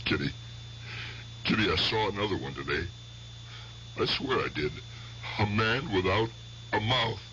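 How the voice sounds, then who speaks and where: An actor playing an agitated, fearful older American gangster uncle in a radio drama. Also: male voice, fairly low pitch, lively intonation, a little slow, pauses often, sharp tone. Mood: frightened, shaken. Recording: dull vintage radio recording, hum and hiss.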